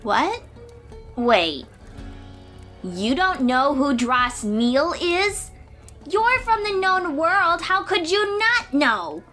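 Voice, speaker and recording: Fantasy audio story role: plucky young girl warrior from the sea played by a woman, bright and lively, unhurried, perky. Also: high-pitched, full character voice. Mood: incredulous. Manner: perky, informal, expressive. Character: confident thirteen-year-old sea-dweller girl warrior, cheery and chatty. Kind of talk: fantasy audio roleplay addressed to the listener.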